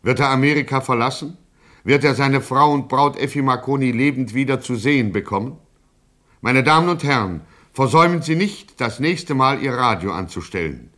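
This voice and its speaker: An actor playing a dramatic, mock-solemn narrator of a German radio crime serial. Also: male voice, medium pitch, expressive, moderate pace, a bit bright, close to an everyday voice. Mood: grand suspense. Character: grandly suspenseful male radio serial narrator.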